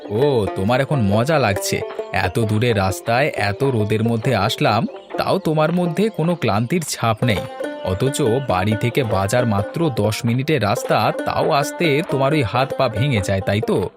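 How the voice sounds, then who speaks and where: A man voicing an indulgent village father in a cartoon, coaxing and gently teasing. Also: medium pitch, expressive, normal speed, natural voice. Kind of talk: Bengali cartoon story dialogue. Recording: music behind the voice.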